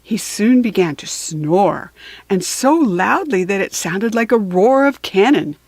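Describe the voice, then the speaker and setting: A woman reading a children's story aloud for beginning readers, measured and deliberate, with storytelling emphasis. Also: medium pitch, animated, darkish tone, dry recording. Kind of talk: slow, careful read-aloud for beginning readers.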